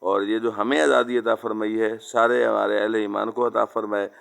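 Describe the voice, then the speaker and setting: A man's voice: on the low side, fairly even intonation, normal speed, creaky, fairly bright tone. A man preaching an Islamic devotional sermon, exhorting listeners like friends and weaving in repeated recited Arabic phrases.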